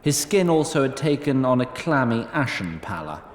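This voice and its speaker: A man's voice: medium pitch, very animated, normal speed, echoey room, natural voice. A man playing a haughty immortal storyteller, narrating a fantasy audio drama in a droll, formal, arch manner. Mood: arch, wry. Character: wry, lofty immortal storyteller, haughty toward mortals.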